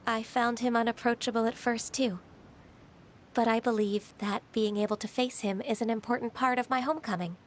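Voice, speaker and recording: A woman voicing a gentle, earnest young half-divine woman in a video game, speaking calmly and politely. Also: a little high, normal speed, slight background noise, close to an everyday voice. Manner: calm, polite, soft-spoken.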